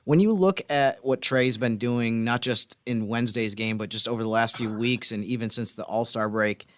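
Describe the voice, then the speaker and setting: A man's voice: mid-range voice, normal speed. A man hosting a casual sports podcast, chatting through his opinions and questions. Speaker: podcast host.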